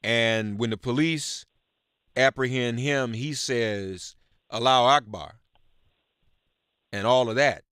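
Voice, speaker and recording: A man hosting a live political call-in radio show, halting and emphatic, repeating words for stress as he reads news and talks with callers. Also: mid-range voice, fairly bright tone, clear, resonant tone, dry recording, studio quality.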